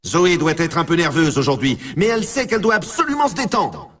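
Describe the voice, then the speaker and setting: A man playing an excited, upbeat snowboard race announcer in a video game, with showman commentary and a husky voice. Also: on the high side, a little fast, far from the mic, exaggerated voice. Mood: excited, anticipating.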